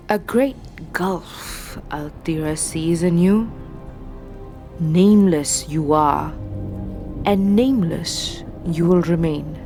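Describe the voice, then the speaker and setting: A woman playing an eccentric, ageless fortune teller witch who speaks in a cryptic, wry, halting way in a fantasy audio drama. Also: fairly low pitch, a little mellow. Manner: speaks of herself in third person, halting and cryptic.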